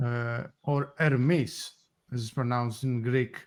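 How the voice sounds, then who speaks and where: A man playing in a tabletop game, speaking in character with a halting, playful delivery. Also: medium pitch, steady tone.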